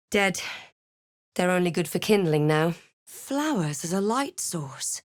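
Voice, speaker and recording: An actress voicing a young female video game adventurer, wry, wary and thoughtful, with measured, theatrical delivery. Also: mid-range voice, no echo, clean studio sound. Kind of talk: video game character voice lines.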